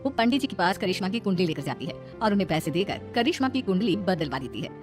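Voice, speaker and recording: A woman's voice: mid-range voice, very animated, fast talker, over music. A woman narrating a scripted moral story.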